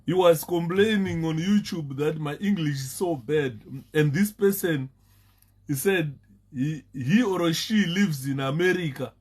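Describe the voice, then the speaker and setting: A man's voice: fairly high pitch, measured pace, darkish tone, husky. A Zimbabwean man talking casually on a live stream, stopping and repeating words and laughing often. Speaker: Facebook live host.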